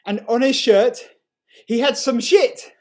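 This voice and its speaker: A man's voice: very high voice, slow pace, pitched above the performer's own voice. A man voicing a gauche, eager teenage boy in a story, blurting and cocky. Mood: loud, excited. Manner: blurting, over-keen, cocky.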